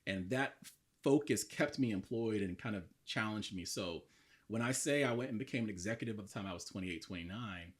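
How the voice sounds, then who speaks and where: A man in his thirties from the southern United States, a podcast guest telling his life story in an earnest, reflective way, a little hoarse today. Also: fairly low pitch, a little fast. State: slightly hoarse. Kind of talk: casual interview talk.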